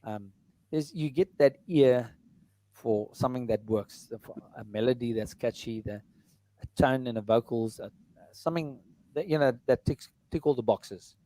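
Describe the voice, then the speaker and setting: A middle-aged man with a baritone voice, talking casually about his music in an interview, rambling with many fillers and restarts. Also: moderate pace, dark tone, no room sound, rough recording. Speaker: musician and entertainer.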